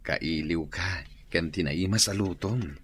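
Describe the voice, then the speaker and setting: A man's voice: low-pitched, measured pace, fairly bright tone, slightly rough, full character voice. A man playing an evasive, sweet-talking husband and father in a radio drama. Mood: affectionate. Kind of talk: Ilocano radio drama acting.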